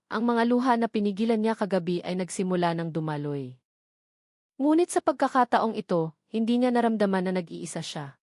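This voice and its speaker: A woman's voice: mid-range voice, darkish tone, dry recording, studio quality. A woman reading a scripted dramatic love story as its narrator.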